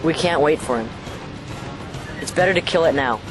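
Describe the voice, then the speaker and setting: A man's voice: fairly high pitch, normal speed, music in the background, lightly acted. A man acting in an audio drama as a troubled, possessed son, intense and dramatic. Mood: grim, determined. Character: troubled possessed son, menacing then resigned.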